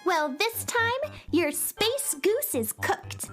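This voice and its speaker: A woman's voice: very high-pitched, sing-song, takes it slowly, a bit bright, soft background music, heavily acted. A woman playing an earnest, perky little girl space heroine in a children's radio comedy, exclaiming with indignation. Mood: defiant. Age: child figure.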